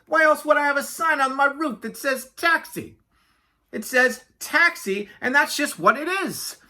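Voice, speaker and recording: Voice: sits high, measured pace, pushed and bright, pitched above the performer's own voice, exaggerated voice. A storybook reader voicing a chatty, self-important yak taxi driver who rambles indignantly and obliviously. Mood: boastful, rambling. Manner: rambling, indignant, never lets others speak.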